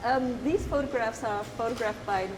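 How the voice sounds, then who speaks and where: A middle-aged woman from Zimbabwe, an artist talking reflectively, pausing often and restarting her thoughts. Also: fairly high pitch. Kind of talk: reflective conversational talk. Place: gallery. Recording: roomy hall with hiss.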